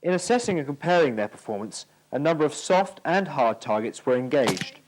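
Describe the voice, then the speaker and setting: British man, fairly high voice, very varied intonation, formal measured enunciation, scripted military instruction, 1980s training film soundtrack. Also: very animated, background noise.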